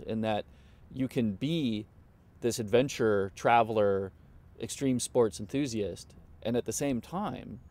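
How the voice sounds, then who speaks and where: Low, gravelly-edged man, thoughtful professor. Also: darkish tone. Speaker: professor reviewing a film. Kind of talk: conversational interview, reflective review.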